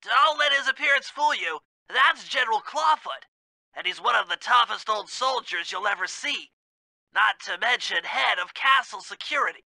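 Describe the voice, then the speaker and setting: An actor playing a brainy, earnest turtle gadget expert in a video game, nasal and know-it-all. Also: male voice, very high voice, animated, moderate pace, vocal fry, pushed and bright, no room sound, full character voice, low-quality recording. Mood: briefing.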